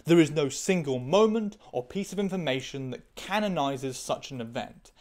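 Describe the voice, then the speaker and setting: A woman's voice: deep voice, animated, normal speed, darkish tone. A woman reading her own scripted video essay, candid, opinionated and analytical. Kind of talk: scripted commentary.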